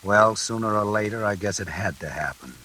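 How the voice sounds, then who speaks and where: An actor playing a sinister night elevator operator in a classic radio suspense drama, laconic and coolly insinuating. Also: male voice, lowish voice, animated, brisk, pushed and bright. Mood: coolly ominous. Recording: hissy, noisy old radio recording.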